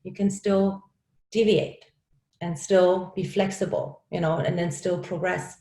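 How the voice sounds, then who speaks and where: German lady coach on a video call, upbeat and encouraging, lowish voice, kinda echoey room. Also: steady tone, a little slow, dark tone. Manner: upbeat, laughs often, encouraging. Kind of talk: online coaching talk.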